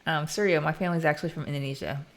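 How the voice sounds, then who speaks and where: A woman's voice: low-pitched, fairly even intonation, rapid-fire. An American woman live streaming as she codes, chatting informally and thinking aloud in a casual way. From United States. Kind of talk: casual live stream chat while coding.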